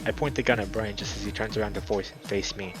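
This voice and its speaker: A man streaming a let's play, reading a visual novel aloud in a dramatic, excitable way, sometimes stumbling over words. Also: on the low side, quick pace, creaky. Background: background music.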